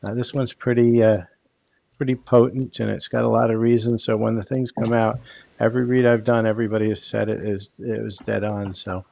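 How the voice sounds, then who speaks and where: An older man hosting a live card reading over a headset, speaking in a calm, soothing, unhurried way. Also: on the low side, gravelly, lo-fi sound. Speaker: tarot card reader.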